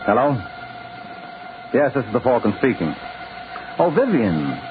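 Tinny old-radio smooth tough detective. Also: male voice, medium pitch, big pitch swings, unhurried, noisy background. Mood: suave, determined. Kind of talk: 1950s radio drama acting.

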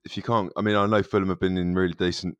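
A man's voice: low-pitched, level tone, racing, smooth, no echo, muffled recording. A man co-presenting a football podcast in casual chat, with a dry, wry manner.

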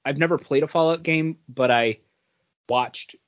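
A middle-aged man co-hosting a podcast, chatting casually about pop culture in a rambling, halting way. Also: fairly high pitch. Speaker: podcast co-host. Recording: dull lo-fi podcast audio.

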